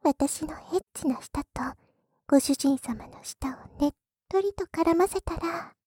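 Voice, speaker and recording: A woman playing a coy, secretly lewd maid in an intimate adult ASMR roleplay, teasing her master in a breathy voice that often drops to a whisper. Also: very high voice, unhurried, warm tone, no echo, studio quality. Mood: seductive.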